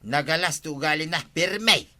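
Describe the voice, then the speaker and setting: An aggrieved villager in a radio comedy drama, with an Ilocano accent. The voice is strikingly sharp and a little high for a man, insistent and full of complaint. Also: normal speed.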